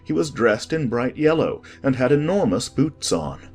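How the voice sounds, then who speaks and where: A man narrating a storybook from a script as an expressive audiobook reader. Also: medium pitch, moderate pace, slightly rough, soft background music.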